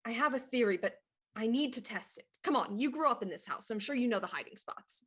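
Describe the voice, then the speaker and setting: An actress playing a composed, shrewd police inspector in a period radio murder mystery, with a dry, deliberate delivery. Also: female voice, fairly high pitch, lively intonation, slightly rough. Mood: assured. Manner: deliberate, dry, theatrical deduction.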